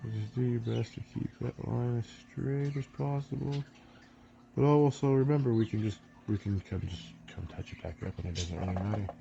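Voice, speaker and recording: Man, stuffy, congested, mellow dark tone, rambling casual chat, faint background noise. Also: medium pitch, brisk, creaky, dull audio. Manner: relaxed, rambling with many ums. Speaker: miniature painting channel host. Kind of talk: casual tutorial chat while painting.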